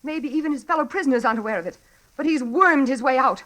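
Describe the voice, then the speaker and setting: An actress playing a frightened, insistent young woman in an old radio drama, urgent and dramatic, halting in places. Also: high voice, fairly bright tone. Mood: agitated, fearful. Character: frightened, insistent young woman haunted by her mother's killer. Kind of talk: 1940s radio acting. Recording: vintage 1940s radio broadcast.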